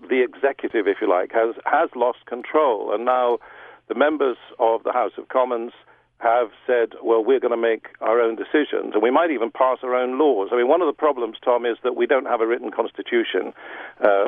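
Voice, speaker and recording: A middle-aged Englishman, explaining politics in a radio interview with measured pauses and restarts. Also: mid-range voice, no echo. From England. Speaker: guest broadcaster. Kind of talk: radio interview over the phone. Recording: tinny phone line.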